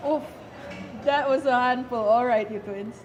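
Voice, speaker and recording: Woman presenter, high-pitched, measured and slow scripted explanation, noisy amateur recording. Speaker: student presenter playing a doctor. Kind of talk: scripted explanation in a biology puppet show.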